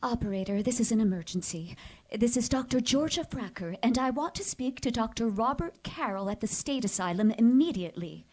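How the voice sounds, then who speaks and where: A woman's voice: on the high side, lively intonation, brisk, a little mellow. An actress playing a composed, assertive woman doctor in a 1940s radio detective drama, dry and direct. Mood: urgent, professional. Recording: live stage recording with faint noise.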